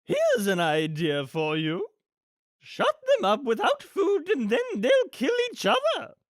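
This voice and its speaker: A woman's voice: sits high, huge pitch swings, slow, dry recording, clean studio sound, voice pushed up, heavily acted. A woman voicing an imperious, gleefully murderous rich villainess from a storybook, with a haughty, theatrical, commanding manner. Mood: gleefully menacing.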